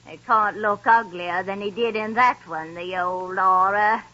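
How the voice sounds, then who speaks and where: A woman's voice: mid-range voice, measured pace, sharp tone. An actress playing a greedy, scornful old charwoman with a coarse, gloating manner in 1930s radio drama acting. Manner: coarse, gloating, cockney-flavored. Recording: dull 1930s radio broadcast.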